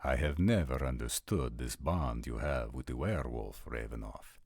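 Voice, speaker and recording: Man playing a very deep, formal vampire noble. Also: vocal fry, darkish tone, dry recording, clean studio sound, exaggerated voice. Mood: stern, cold. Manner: measured, deliberate, formal. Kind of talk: audio roleplay drama acting.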